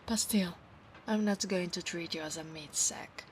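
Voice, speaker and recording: A woman's voice: fairly low pitch, measured pace, a little hiss or hum, natural voice. A woman voicing a proud, insistent female centaur knight of a holy order in an ASMR roleplay, formal and commanding in her speech. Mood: softening, earnest. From Italy. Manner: formal, commanding, haughty, archaic phrasing.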